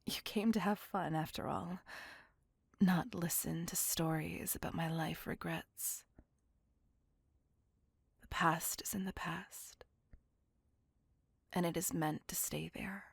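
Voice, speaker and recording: A woman playing a courteous, melancholy vampire on a date in an intimate ASMR roleplay, speaking softly and formally in a breathy, whispery voice, tender and wistful. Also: on the low side, fairly even intonation, slow pace, pauses often, dry recording, full character voice. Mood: gracious, gentle.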